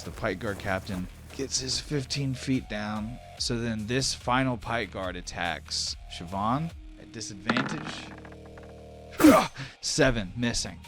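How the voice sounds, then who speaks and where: A man running a tabletop fantasy game as game master, narrating turns and calling results. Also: fairly low pitch. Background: music.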